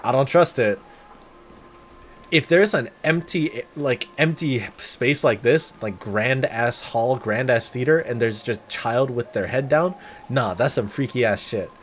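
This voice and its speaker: A man's voice: medium pitch, unhurried, slight background noise. A man giving casual, joking, sweary live commentary on a game. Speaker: game streamer. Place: live stream with faint game music behind.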